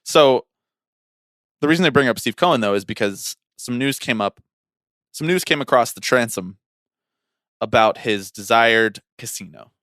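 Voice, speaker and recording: A man in an easy, conversational podcast chat, recorded dry and close with very little room sound. He has a mid-pitched voice and talks as a sports co-host, with an animated, wry manner. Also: no room sound.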